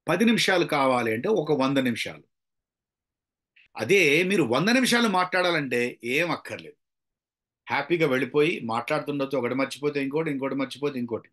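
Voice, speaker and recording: A man's voice: mid-range voice, expressive, fairly quick, a bit bright. A middle-aged man with a Telugu accent giving an informal public speaking lecture, conversational, asking rhetorical questions and dropping in English phrases. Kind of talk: informal lecture with acted examples.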